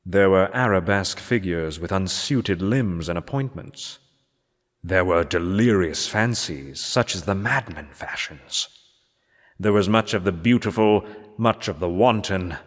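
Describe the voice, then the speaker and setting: A man reading gothic horror fiction aloud as a dramatic narrator, in a theatrical, measured manner with deliberate pauses. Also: low voice. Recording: long cavernous reverb.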